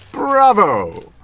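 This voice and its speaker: An actor playing a suave, manipulative middle-aged husband, urbane and theatrical, in an old-time radio drama. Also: male voice, high voice, a bit bright, a little hiss or hum, exaggerated voice. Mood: mocking delight. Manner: pompous, theatrical, urbane, goading.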